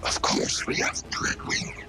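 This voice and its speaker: A man voicing a cold, grandiose robot scientist villain in a scripted audio drama, with heavily processed robotic delivery and measured monologues. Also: pushed and bright, soft background music. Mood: sinister, impatient.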